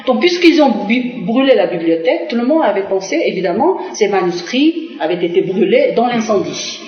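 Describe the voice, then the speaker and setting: A woman's voice: medium pitch, big pitch swings, moderate pace. Woman diplomat, echoey. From Korea. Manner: hesitant, many fillers and restarts. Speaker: Korean diplomat. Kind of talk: TV interview answers. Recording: echoey, dull lo-fi TV broadcast.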